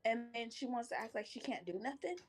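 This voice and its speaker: Woman streamer, animated storyteller, pitches her voice up from a deeper natural tone. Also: fast talker. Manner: animated venting, acts out quoted voices. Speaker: stream co-host. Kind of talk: casual chat. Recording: music playing behind.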